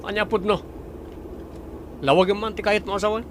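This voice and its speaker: An actor with an Ilocano accent playing a spoiled, boastful and touchy young boyfriend in a radio drama. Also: male voice, high-pitched, steady tone, normal speed, close to an everyday voice. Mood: defensive. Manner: boastful, petulant. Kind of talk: Filipino radio drama acting. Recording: noisy, vehicle sound behind.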